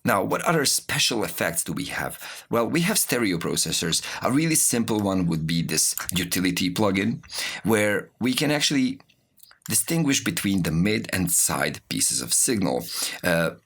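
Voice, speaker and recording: A man teaches in a low, husky voice with plenty of rise and fall. He explains things step by step in an informal way, like an instructor demonstrating software in a tutorial. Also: big pitch swings, normal speed. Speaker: music production instructor.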